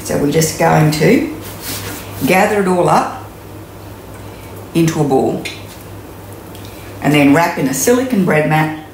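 A woman's voice: low voice, animated, a little slow, pauses often, darkish tone, a little hiss or hum. A woman in her sixties with an Australian accent, chatting through a home cooking demonstration with many ums and restarts, recorded in her kitchen on a camera mic a few metres away. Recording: echoey kitchen room.

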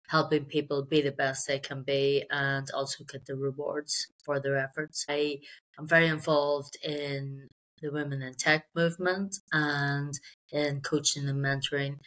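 Low-voiced middle-aged Belfast woman. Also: fairly bright tone, poor audio. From Belfast, Northern Ireland. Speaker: sales executive, interview guest. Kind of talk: conversational personal career story in interview. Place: indoor room on a video call.

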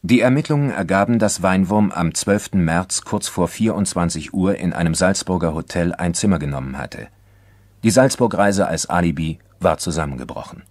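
A sober male crime narrator with a very low voice in a dry recording. He delivers a scripted case report in formal, measured phrases. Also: lively intonation, darkish tone, no echo. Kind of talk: scripted documentary crime radio-drama narration.

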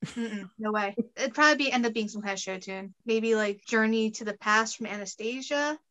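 A pregnant woman in her late twenties chats easily over a home video call. Her voice is bright and sits a little high, and she laughs between quick asides. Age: late 20s. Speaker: guest costumer. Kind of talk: casual video-call chat. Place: home room, indoors.

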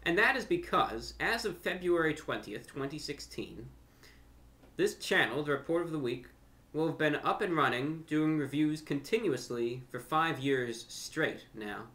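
A young man talking unscripted to camera as a host, with deliberate, slightly formal phrasing, pausing and repeating words. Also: on the high side, lively intonation, sharp tone. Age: young adult. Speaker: YouTube host and amateur radio broadcaster. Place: furnished room at home, indoors.

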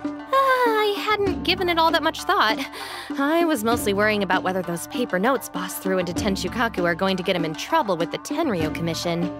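A woman's voice: mid-range voice, lively intonation, a little fast, over music, close to an everyday voice. A woman voicing a sensible, level-headed young gang deputy in a video game. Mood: matter-of-fact. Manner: level-headed, matter-of-fact.

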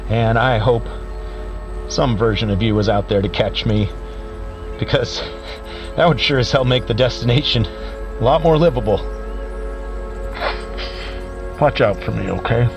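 An actor playing a weary, desperate radio observer facing doom in an audio drama, speaking in halting, broken phrases. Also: male voice, medium pitch, music in the background, lightly acted. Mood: wistful farewell. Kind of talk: audio drama monologue, found-footage radio transmission.

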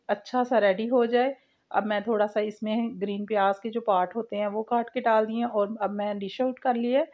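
A woman hosts a home cooking channel, talking through a recipe step by step. Her voice sits a little high. The sound is somewhat dull and lo-fi, like a simple home recording. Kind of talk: recipe voice-over, step-by-step instruction.

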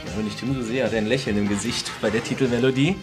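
A man's voice: mid-range voice, steady tone, very fast, music in the background. A man hosting a casual fan commentary on a cartoon, chatty and explanatory in manner.

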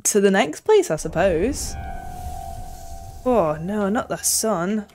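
A woman's voice: medium pitch, very animated, slow, darkish tone, soft background music. A young woman giving casual, playful, chatty commentary while she plays a video game. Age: young adult. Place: small indoor room at home.

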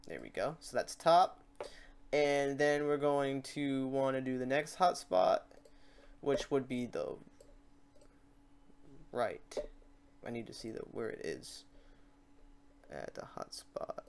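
A man hosting a casual, improvised software tutorial, thinking aloud in an informal, self-deprecating way. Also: medium pitch, lively intonation, slow, pauses often, slight background noise. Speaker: tutorial host.

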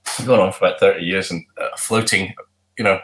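Casting: middle-aged male musician, low voice, rambling chatty answers over webcam. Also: fairly even intonation, brisk, fairly bright tone, rough recording. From not from Glasgow. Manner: chatty, rambling, lots of ums and restarts. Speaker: musician guest. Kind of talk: casual video call interview. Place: home room with guitars. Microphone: webcam on video call.

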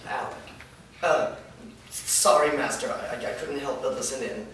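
A man's voice: medium pitch, normal speed, a bit bright, lightly acted. A man in a staged reading playing a servile, resentful human minion who craves power, with a fawning, scheming manner. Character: whiny, servile human minion craving vampirehood, creepy and resentful. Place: indoor performance room, seated before an audience. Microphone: far camera. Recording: distant mic, very echoey noisy room.

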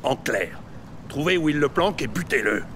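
Acteur en parrain mafieux poli et menaçant. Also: male voice, fairly high pitch, lively intonation, background noise, close to an everyday voice. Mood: menacing. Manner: formal, measured, veiled threats. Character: menacing male mob don, polished and coldly threatening. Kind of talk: audio series acting. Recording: music behind the voice.

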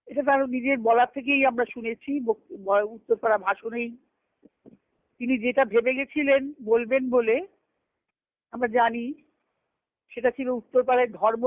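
A woman giving a devotional discourse on a conference call, telling stories earnestly and slowing down to recite scripture verses. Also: fairly high pitch, no echo. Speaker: spiritual discourse speaker. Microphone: phone on a conference call. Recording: tinny phone line, dry.

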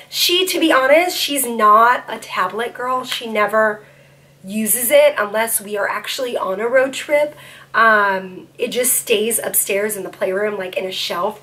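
A woman's voice: on the high side, fairly bright tone. A woman in her thirties chatting casually to the camera from a bedroom, sharing travel tips for kids with an upbeat, filler-filled delivery. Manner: chatty, upbeat, lots of "um" and "little one". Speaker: parenting vlogger. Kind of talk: casual product recommendations to camera. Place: bedroom, indoors.

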